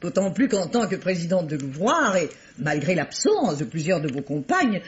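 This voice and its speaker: A woman's voice: lowish voice, sing-song, normal speed. A muffled vintage radio recording carries a vain older grande dame of the stage. She declaims grandly and gushes, her melody rising and falling dramatically as she presides over everyone. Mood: haughty, officious. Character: vain, theatrical ageing ex-actress presiding over charity circle. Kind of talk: French radio mystery drama acting.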